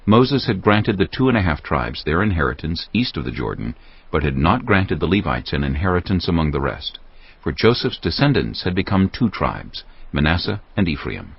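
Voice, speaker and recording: A man giving a measured, solemn scripted narration of scripture for an audio Bible. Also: low voice, sing-song, gravelly, husky, no echo, lo-fi sound. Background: prominent background music.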